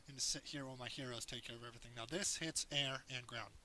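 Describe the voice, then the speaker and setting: A man with a hoarse voice narrates his own strategy game match, walking through tips in a loose, rambling way. His tone is bright and forward, with a light hiss under the recording. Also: mid-range voice, fairly even intonation, fast. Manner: explains as he plays, loose and rambling. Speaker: gameplay commentator. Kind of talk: casual game tutorial commentary.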